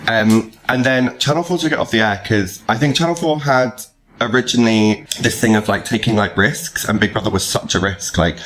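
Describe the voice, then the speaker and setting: A man's voice: on the low side, brisk, fairly bright tone, low-quality recording. A British man in his thirties hosting a podcast in a casual, chatty, enthusiastic and self-deprecating way. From United Kingdom. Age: 30s. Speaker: podcast host. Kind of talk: casual chat.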